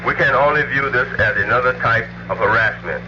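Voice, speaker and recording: A man's voice: mid-range voice, brisk. An American man, a police spokesman, giving a public statement in a muffled, noisy news recording.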